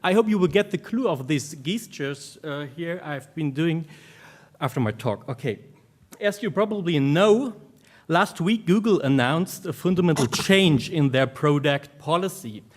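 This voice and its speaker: A man with a German accent giving an academic conference presentation, speaking in halting, informal phrases as a researcher. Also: fairly high pitch, expressive.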